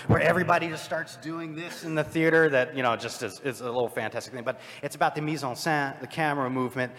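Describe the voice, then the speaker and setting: A man speaking on a live convention panel, his voice carrying a long hall reverb. He talks in an animated way, thinking out loud and restarting his phrases. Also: mid-range voice.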